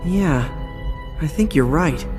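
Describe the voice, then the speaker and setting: A man's voice: fairly high pitch, lively intonation, slow pace, darkish tone. A man playing a confident, decisive teenage boy hero in a cartoon-based video game, direct and earnest. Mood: wary. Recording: long reverb, game music behind.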